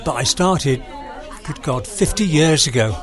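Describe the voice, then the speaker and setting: Welsh guy in his 60s, chatty and earnest, loud party chatter all around. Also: a little high, lively intonation, unhurried, music in the background. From South Wales. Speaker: hospital radio volunteer guest. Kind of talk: casual radio interview. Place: busy room at a radio party. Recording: crowd chatter in the room. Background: crowd chatter.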